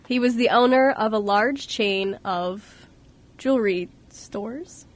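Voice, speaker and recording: Female narrator for an amateur radio drama, higher-pitched voice, reading a scripted story. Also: slow pace, a little hiss or hum. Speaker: radio show co-host and narrator.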